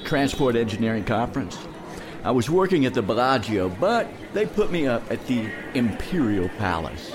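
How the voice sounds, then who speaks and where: A man's voice: mid-range voice, very animated, moderate pace, background noise, lightly acted. An actor playing an everyman corporate husband, bantering and defensive in a naturalistic audio drama. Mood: easygoing banter.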